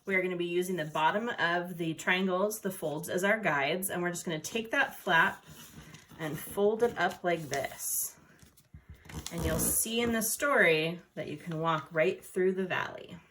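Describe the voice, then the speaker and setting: Lower-voiced woman giving craft instructions. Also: a little slow, fairly bright tone. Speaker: art teacher. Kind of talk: step-by-step craft instruction for children. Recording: noticeable background noise.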